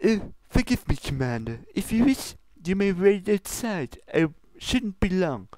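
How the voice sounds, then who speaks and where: Haughty, high-pitched British pony princess. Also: big pitch swings, measured pace, mellow, no room sound. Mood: gracious. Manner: formal, pompous, indignant. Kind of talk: fan fiction comedy reading.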